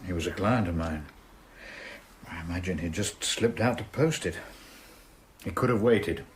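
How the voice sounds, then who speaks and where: An actor playing a mature gentleman lawyer in a radio drama, formal, reserved and thoughtful. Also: deep voice, moderate pace, slight background noise, natural voice. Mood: guarded.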